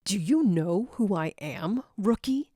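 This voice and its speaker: A woman's voice: mid-range voice, expressive, takes it slowly, mellow, slightly rough, no room sound, clean studio sound. A woman voicing a brooding, bitter male jackal squad leader with a curt, disinterested manner. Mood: curt, commanding.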